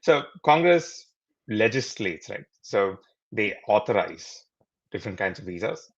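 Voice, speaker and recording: Casting: low-voiced guest from India, earnest explainer, muffled lo-fi call sound. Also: male voice. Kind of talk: interview answers.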